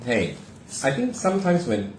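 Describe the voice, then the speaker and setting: A Singaporean man chats and teases, his pitch swooping up and down as he tells a story. He is recorded in an echoey room, with a faint hum underneath. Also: normal speed. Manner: animated, teasing storyteller. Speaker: host. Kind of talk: casual podcast chat.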